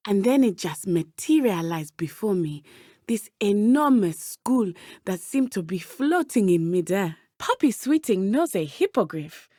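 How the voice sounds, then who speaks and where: Brave teen witch girl from a video game, lively pitch, careful formal wording, dry close sound. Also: no echo. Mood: warm, earnest. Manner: precise, measured, formal diction. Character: earnest, brave teenage schoolgirl witch from Uganda. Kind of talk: video game character dialogue.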